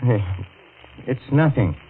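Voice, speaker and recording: An actor playing a guilty, nervous killer in a melodramatic, halting 1940s radio thriller style. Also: male voice, mid-range voice, big pitch swings. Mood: nervous, evasive. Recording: noisy, narrow-band old radio recording.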